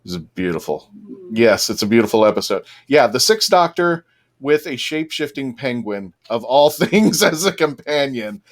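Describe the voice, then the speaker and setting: A man's voice: mid-range voice, sing-song, normal speed. A man telling stories casually as a podcast panelist. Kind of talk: casual storytelling in panel chat.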